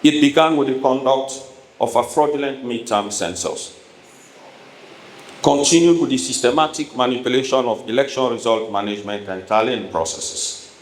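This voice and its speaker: A Sierra Leonean man addresses a town hall in formal, emphatic oratory. His mid-pitched voice rings around an echoey room, with some faint noise behind it. He speaks in deliberate, measured phrases, as a politician reading a prepared address.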